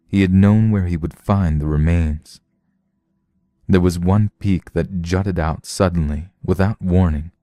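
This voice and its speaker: A man with a low, dark voice narrates a suspense story. He reads slowly and deliberately, in a measured dramatic style, recorded close and dry. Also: dry recording.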